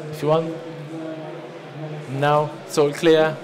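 A man's voice: on the high side, monotone, takes it slowly, crowd noise. Italian-accented man presenting at a conference. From Italy. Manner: conversational, loose, with many restarts and fillers. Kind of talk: seminar talk to an audience. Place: large conference hall, at a lectern. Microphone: lectern microphone. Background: listening audience.

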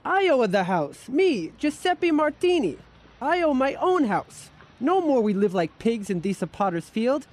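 Excitable high-voiced Italian immigrant. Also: male voice, unhurried, full character voice. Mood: proud, overjoyed. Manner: exuberant, broken English, emphatic. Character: excitable, proud Italian immigrant man, new homeowner. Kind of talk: radio drama acting.

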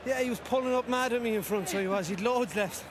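A man's voice: very high voice, noisy background. A man, a jockey, answering post-race interview questions outdoors at a racecourse. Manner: quick, modest, halting chat. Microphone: handheld interviewer mic.

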